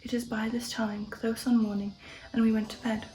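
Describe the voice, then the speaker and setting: A woman reading a novel aloud as ASMR narration in a soft, breathy half-whisper, measured and deliberate. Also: fairly high pitch, flat delivery, faint music behind, low-quality recording.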